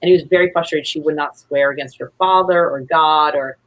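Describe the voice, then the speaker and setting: A woman with a husky voice chatting casually as an interview guest, effusive and rambling. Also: deep voice, fairly quick, fairly bright tone, low-quality recording. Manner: effusive, rambling, acts out quotes. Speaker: guest, playwright.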